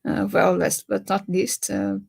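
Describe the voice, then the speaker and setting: A young woman from Brazil speaks in English with a Brazilian Portuguese accent on a webinar panel. Her voice carries a strong vocal fry, and she keeps stopping to search for words. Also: medium pitch, fairly even intonation, unhurried. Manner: hesitant, many filler sounds. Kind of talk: webinar talk.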